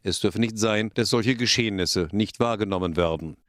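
A man's voice: fairly low pitch, moderate pace, fairly bright tone, dry recording, studio quality. A man with a standard German accent delivers a scripted radio report as a correspondent, sober and factual.

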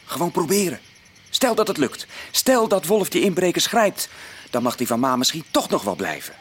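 A man's voice: fairly high pitch, very animated, moderate pace. An actor playing a practical, confident teenage boy detective in a radio play, teasing and colloquial. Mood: intrigued. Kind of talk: Dutch radio play acting. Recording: faintly noisy old radio play recording.

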